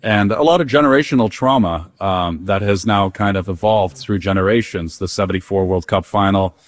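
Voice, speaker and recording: A man's voice: deep voice, moderate pace. A young man from the Netherlands, talking casually in an interview with many fillers and restarts. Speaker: interviewee, Dutch soccer fan.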